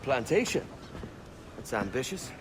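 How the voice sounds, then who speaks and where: A man's voice: mid-range voice, very animated, a little slow, noisy background. A man acting a cocky, sardonic young pirate captain in a game cutscene, speaking in a wry, teasing way. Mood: amused, teasing. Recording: loud outdoor ambience behind.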